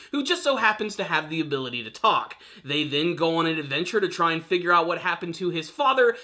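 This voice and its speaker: Bright, animated young man reviewing with enthusiasm. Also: a little high. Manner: upbeat, emphatic, earnest fan delivery. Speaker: video reviewer and channel host. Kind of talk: enthusiastic film review commentary to viewers.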